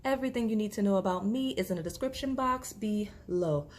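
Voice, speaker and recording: A woman, slightly hoarse, chatting casually through a tarot reading to camera, with lots of fillers and restarts. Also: mid-range voice, fairly quick, a little hiss or hum. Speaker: tarot reader.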